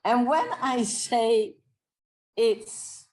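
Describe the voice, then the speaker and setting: Slow, deliberate woman professor. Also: fairly high pitch. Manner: deliberate, thoughtful, with pauses. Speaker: professor, invited speaker. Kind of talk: reflective spoken answer.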